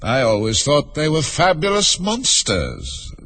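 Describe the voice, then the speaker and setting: Man doing a high, haughty, excitable unicorn. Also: measured pace, long reverb tail, full character voice. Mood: dreamy.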